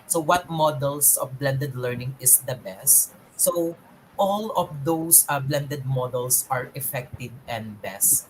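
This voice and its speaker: A man's voice: mid-range voice, slight background noise, rough recording. A man, a guest speaker, answering questions at an online seminar over a video call, often restarting and repeating phrases.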